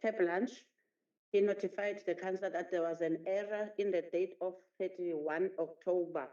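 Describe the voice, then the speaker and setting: A woman's voice: fairly low pitch, fairly even intonation, normal speed, dark tone. A woman chairing a council meeting, hesitant and full of ums and uhs. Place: council chamber. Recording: roomy, dull council chamber audio.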